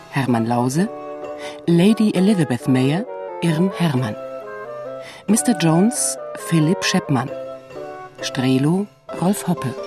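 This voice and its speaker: A woman announcer reading scripted credits for a radio play. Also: low voice. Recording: music under the announcement.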